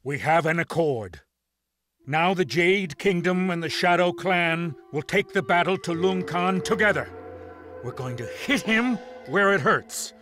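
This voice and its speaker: A man's voice: high voice, expressive, measured pace, fairly bright tone, faint music behind. A man playing a resolute clan lord in a video game, giving orders in a commanding, declamatory way. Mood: resolute, rousing. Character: resolute male clan lord and fleet leader. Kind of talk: dramatic game cutscene acting.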